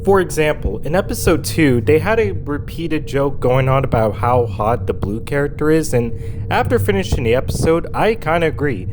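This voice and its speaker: A young man reviewing a show in a casual, excitable and rambling way, his voice going hoarse. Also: fairly high pitch, normal speed, a little hiss or hum, poor audio.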